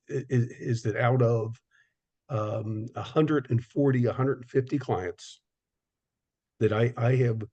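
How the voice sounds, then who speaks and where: A man with a slightly husky voice hosts a relaxed conversation. He stutters often and repeats words and sounds as he talks. He keeps a friendly, conversational pace. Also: medium pitch, dull audio. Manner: stuttering speech with repetitions, conversational. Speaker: podcast host, business owner. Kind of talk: casual conversation.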